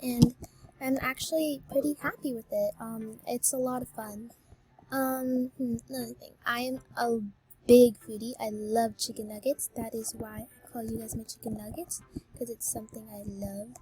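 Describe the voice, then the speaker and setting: A teenage girl chatting casually while she plays a game, high-pitched and bubbly, with lots of ums. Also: a little mellow, slight background noise, poor audio. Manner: bubbly, full of filler ums, exclaims at game fails. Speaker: gaming YouTuber. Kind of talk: casual voice-reveal chat while gaming.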